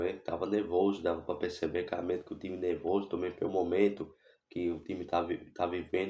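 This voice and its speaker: Hoarse Brazilian man, deep and flat. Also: reverberant. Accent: Brazilian Portuguese. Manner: hesitant, many fillers and restarts. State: hoarse from a cold. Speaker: football YouTuber. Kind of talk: casual match commentary vlog.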